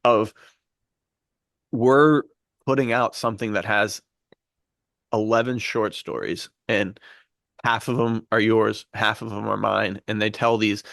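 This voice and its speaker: Man, lowish voice, dry sound, casual podcast talk, earnest, enthusiastic, informal. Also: steady tone, measured pace, creaky, pauses often, fairly bright tone, no echo. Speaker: podcast co-host and fiction writer.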